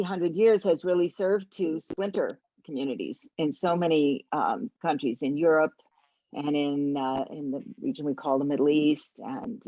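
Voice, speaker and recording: A woman hosts the conversation in a very low voice, musing as she goes. Her sound is dry and close, with dull, lo-fi tone. She comes across as reflective rather than brisk. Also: darkish tone, no room sound. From United States. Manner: thoughtful, musing, reads out audience questions. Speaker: interview host.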